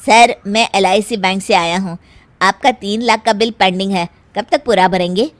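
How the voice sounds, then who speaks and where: A woman voicing a businesslike male bank debt collector, brisk and formal, in a cartoon story. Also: mid-range voice, no echo, pitched below the performer's own voice, close to an everyday voice. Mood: demanding.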